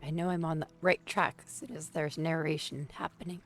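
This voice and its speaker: A woman streaming a game while sick, her voice squeaky, chatting and muttering to herself. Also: steady tone, fairly quick, faint music behind. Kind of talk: casual gameplay commentary.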